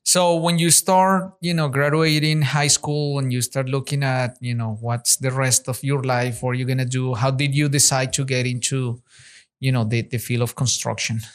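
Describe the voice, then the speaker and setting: A man's voice: medium pitch, fairly even intonation, moderate pace, smooth. A man hosting a podcast, eager and encouraging as he asks questions and adds his own thoughts. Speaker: podcast host. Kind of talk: conversational interview.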